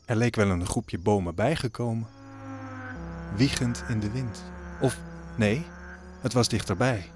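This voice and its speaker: A man's voice: fairly low pitch, a little slow, pauses often, noisy background. A man narrating a scripted audio drama as a storyteller. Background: music under the voice.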